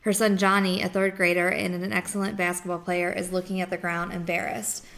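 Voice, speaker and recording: A woman reading a memoir chapter aloud in a steady, even way. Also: on the low side, brisk, vocal fry. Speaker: reader of a book chapter.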